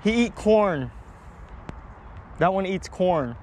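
A man casually vlogging outdoors as he fishes, talking in an excitable, slangy way. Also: high-pitched, slow. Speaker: fishing vlogger. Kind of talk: casual outdoor vlog chat. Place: outdoors beside a pond. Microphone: body-worn action camera. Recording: noisy outdoor action camera audio.

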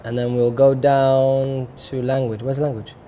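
A young male host trading easygoing banter in a studio, laughing often. His voice sits at an ordinary pitch with a faint hiss under it. He is recorded close on a lapel mic. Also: little pitch movement, a little slow, warm tone. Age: young adult. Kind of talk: playful studio chat game. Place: studio set with lounge chairs.

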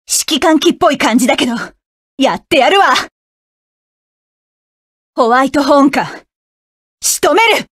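A woman's voice: extremely high pitch, fairly bright tone, dry recording, studio quality, full character voice. An actress playing a fierce, vengeful young woman mecha pilot, barking clipped, commanding battle calls in a game. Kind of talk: video game combat voice lines.

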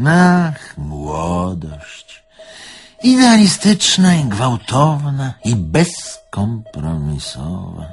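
Teatralny, nostalgiczny starszy Niemiec, bardzo zmienna intonacja. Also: male voice, mid-range voice, wildly swooping pitch, gravelly, soft background music, full character voice. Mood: condescending, wistful. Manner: theatrical, halting, deliberate. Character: nostalgic older German man, proud and condescending. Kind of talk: Polish audio drama acting.

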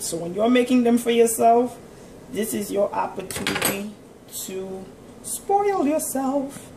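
A woman's voice: medium pitch, vocal fry, reverberant. Woman, home cooking host, casual and instructive, distant camera mic, sizzling pan and kitchen noise behind. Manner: chatty, instructive, talks while cooking. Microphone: camera mic from across the kitchen.

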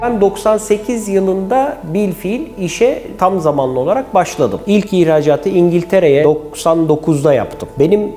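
Mellow-voiced man in his forties, interviewed. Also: sits high, animated, normal speed, hardly any pauses. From Istanbul, Turkey. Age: 40s. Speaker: company chairman telling his business story. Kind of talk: documentary interview storytelling. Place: quiet office room, indoors. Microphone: lapel mic. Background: background music.

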